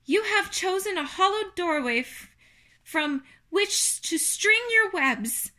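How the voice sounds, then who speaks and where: A woman's voice: very high-pitched, pushed and bright, pitched above the performer's own voice, full character voice. A woman voicing an earnest, tender young male pig with polite, emphatic speech in a children's storybook. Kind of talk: children's storybook character reading.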